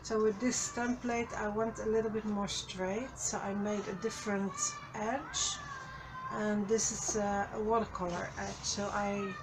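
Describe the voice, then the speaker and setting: Slow, halting woman at a craft desk. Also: medium pitch, noisy background. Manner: slow, halting, many fillers. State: a little hoarse. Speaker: craft channel host. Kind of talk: casual craft tutorial. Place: craft desk, overhead camera.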